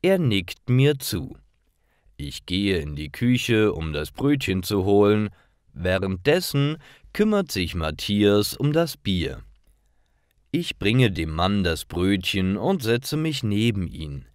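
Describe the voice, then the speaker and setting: A man narrates simple stories for language learners in a very deep voice. He reads slowly and carefully, with expressive rises and falls in his intonation. Each short sentence is delivered deliberately as scripted narration. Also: clean tone, no room sound, clean studio sound.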